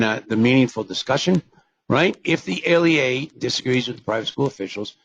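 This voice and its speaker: A man's voice: on the low side, creaky, a bit bright, husky, no echo. An older man presenting a live webinar on special education, folksy and digressive, with rhetorical questions and many fillers, and he is losing his voice. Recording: dull, lo-fi webinar audio.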